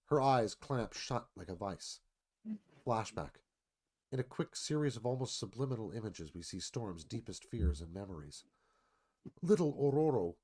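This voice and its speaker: A man's voice: medium pitch, darkish tone. A man narrating scripted scene directions as the narrator of a radio theatre read.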